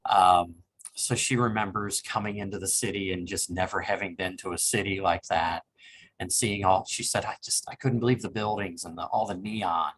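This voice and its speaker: Older man in his sixties, low voice, polite and reflective, oral history interviewee. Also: steady tone, moderate pace, fairly bright tone, husky, poor audio. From Cincinnati, Ohio. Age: 60s. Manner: polite, reflective, with frequent ums. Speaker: interviewee, reference librarian.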